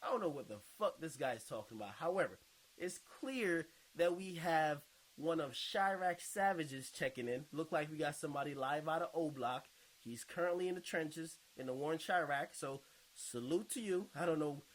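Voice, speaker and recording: Man, fairly high-pitched, casual video host, slangy, sweary, conversational commentary. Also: expressive, moderate pace, poor audio. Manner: informal, slangy, swears freely. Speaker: online video host and podcaster. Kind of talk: casual commentary, reading and reacting to viewer comments.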